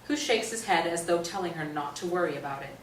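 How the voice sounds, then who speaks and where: A woman's voice: on the low side, fast. A woman narrating stage directions as a scripted reading for a play. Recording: roomy, distant stage recording, faint noise.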